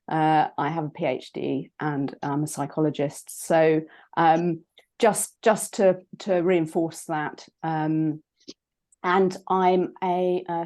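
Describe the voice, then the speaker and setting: A woman with a British accent, a psychologist and coach giving an online talk, croaky from a cold and hesitant, with many ums and restarts. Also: lowish voice, a little mellow. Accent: British English.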